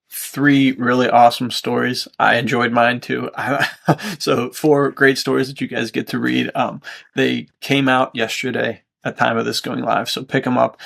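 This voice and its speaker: A man's voice: mid-range voice, creaky, fairly bright tone. A man hosting a podcast in an enthusiastic, casual, chatty way. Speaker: podcast host.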